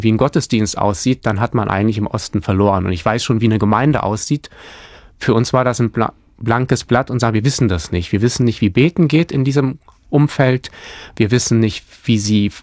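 A man's voice: mid-range voice, no echo. Guy from hamburg chatting about church work, keeps restarting sentences, dry close sound. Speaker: church planter. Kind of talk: interview answers.

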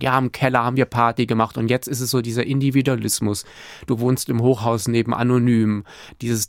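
A man from Hamburg talks as an interview guest, in a middle-pitched voice. He thinks out loud, restarting sentences and pausing with fillers. The studio sound is close and dry. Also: dry recording. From Hamburg, Germany. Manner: thoughtful, many fillers and restarts. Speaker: church planter, interview guest. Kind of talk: radio interview answer.

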